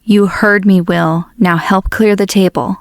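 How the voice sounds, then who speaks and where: A woman plays a stern, unyielding mom in a comic dub. Her mid-pitched voice is firm and slow, in short clipped sentences on a dry recording. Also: steady tone, gravelly, no echo. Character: strict, unyielding mother of a teenager. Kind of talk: fandub acting.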